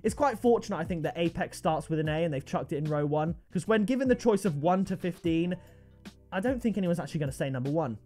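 A man, commenting on game item trades at his desk, excitable and jumping to high-pitched disbelief. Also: fast, faint music behind. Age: 20s. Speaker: video game trading streamer. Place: gaming room indoors.

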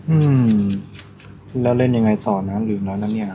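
A man's voice: on the low side, fairly even intonation, normal speed, mellow. A man thinking aloud and joking as he plays a card match in a shop. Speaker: card game player. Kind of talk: casual banter during a card match. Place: card shop with players around. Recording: noisy shop recording.

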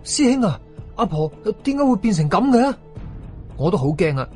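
A man as a frightened high-pitched young apprentice. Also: very animated, normal speed, a little mellow, soft background music. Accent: Hong Kong Cantonese. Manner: anxious, exclaiming. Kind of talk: horror audio story acting.

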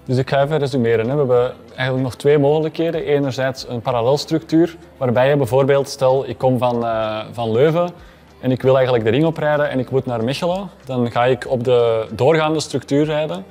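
Flemish male moderator, thirties, mid-pitched, talking through explanations at a table. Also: brisk, darkish tone. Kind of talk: explanatory panel discussion. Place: indoor café-style room, at a table.

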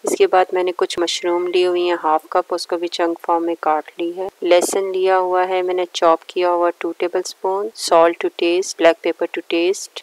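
A woman's voice: on the low side, no room sound, poor audio. A woman narrating a recipe step by step in a plain, instructional way. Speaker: cooking show host.

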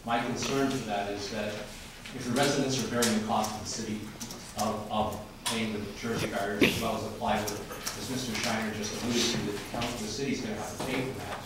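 A man's voice: on the low side, little pitch movement, fairly quick. An older man with an American accent making an earnest, formal public comment to a city council as an official, repeating his phrasing for emphasis. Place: large indoor council chamber. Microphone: camera from across the room. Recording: distant, very echoey, noisy room recording.

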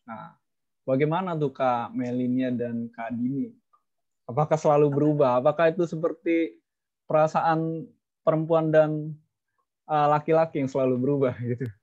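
A man's voice: fairly high pitch, steady tone, moderate pace, long pauses, a little mellow. A young man casually moderating a student webinar on a video call, chatty and teasing. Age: young adult. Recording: dull lo-fi call audio.

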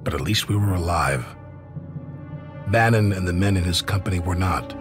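Male actor as American war veteran narrator, very low, measured and somber, over prominent music. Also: close to an everyday voice. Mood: grave, reflective. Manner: grave, measured. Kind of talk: scripted dramatic game narration.

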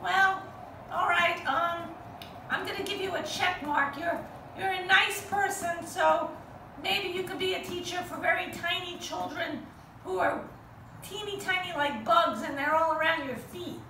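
A woman's voice: extremely high pitch, measured pace, sharp tone, full character voice. A woman voicing a frank, bossy girl puppet in a children's show, with a didactic, blunt, exasperated manner. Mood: instructive. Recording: roomy, distant mic, faint noise.

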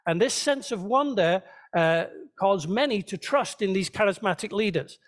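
A man's voice: high voice, lively intonation, normal speed. A man preaching a sermon as a pastor, teaching conversationally and checking in with his listeners. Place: church, speaking to a congregation.

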